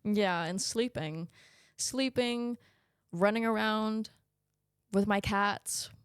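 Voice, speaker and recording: A woman's voice: medium pitch, slow pace, clean tone, no echo, studio quality. A young woman guest, a Danish native speaker, talking candidly and with animation in a casual interview. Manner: candid and animated, laughing often.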